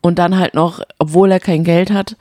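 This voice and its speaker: A woman's voice: fairly low pitch, steady tone, moderate pace, dark tone, no room sound, clean studio sound. A woman chatting casually as a podcast co-host, colloquial and opinionated. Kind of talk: casual chat about reality TV.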